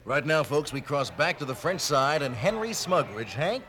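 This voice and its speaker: A man playing an excitable TV sportscaster who gives brash, booming play-by-play. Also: normal speed, a little hiss or hum. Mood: excited. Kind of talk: mock sports commentary.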